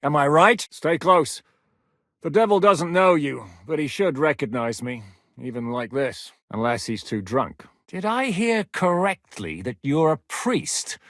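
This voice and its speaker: An actor playing a gruff, blunt one-eyed male commander who swears freely and jokes dryly in a video game. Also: mid-range voice, fairly bright tone, no echo, studio quality. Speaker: military commander.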